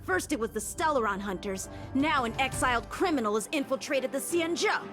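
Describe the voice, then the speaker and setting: High-voiced, bold young law enforcer. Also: female voice, expressive, a little fast. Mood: defiant. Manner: defiant, commanding. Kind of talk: dramatic video game voice acting. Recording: loud music under the voice.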